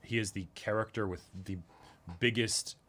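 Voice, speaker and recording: A man's voice: lowish voice, fairly even intonation, moderate pace, fairly bright tone. A man co-hosting a casual reaction discussion, agreeable and conversational, chiming in as he goes. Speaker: reaction show co-host.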